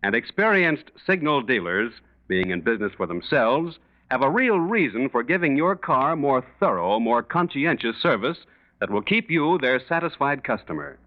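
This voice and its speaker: Old time radio announcer guy doing a sponsor read, very lively and emphatic, muffled lo-fi recording. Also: mid-range voice. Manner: emphatic, polished salesmanship. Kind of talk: 1940s scripted sponsor announcement. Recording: dull lo-fi 1940s radio recording.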